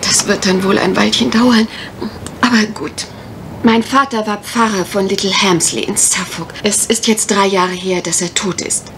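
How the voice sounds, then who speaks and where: An actress in a mystery radio play as an anxious, well-bred young parson's daughter, speaking politely and hesitantly in careful detail. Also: female voice, medium pitch, fairly even intonation, moderate pace, natural voice. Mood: troubled. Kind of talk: 1980s German mystery radio play acting. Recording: faintly noisy old radio recording.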